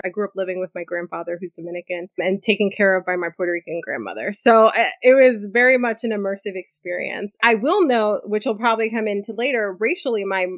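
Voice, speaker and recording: A woman's voice: medium pitch, dry recording. A woman in her thirties from New City, speaking, candidly telling her life story as an interview guest. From New York City. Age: 30s. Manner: candid, lively storytelling with frequent likes and asides. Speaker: guest, corporate lawyer. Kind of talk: conversational interview answers.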